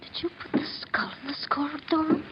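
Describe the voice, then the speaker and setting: An actress playing an uneasy, insistent wife in a 1940s radio mystery, speaking with urgent, pointed delivery. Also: female voice, high-pitched, level tone, normal speed, lightly acted. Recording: muffled, noisy 1940s radio recording.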